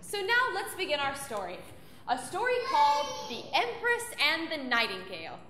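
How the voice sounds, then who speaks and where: A woman's voice: high-pitched, huge pitch swings, moderate pace. A woman who sings mezzo-soprano narrates a storybook tale on stage, stressing words vividly for young listeners. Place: opera house stage, live show. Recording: echoey hall with piano.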